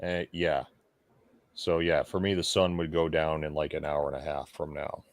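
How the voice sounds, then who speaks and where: A man's voice: rumbling low, flat delivery, normal speed, dry recording. A man co-hosting a podcast in casual chat, joking and laughing often. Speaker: podcast co-host.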